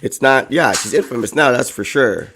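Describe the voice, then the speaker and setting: Hoarse man, rambling chat. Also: medium pitch, lively intonation, moderate pace, a bit bright, a little hiss or hum. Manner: rambling, heavy on "like" and "you know". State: hoarse, recovering from a lost voice. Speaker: co-host. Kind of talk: casual chat.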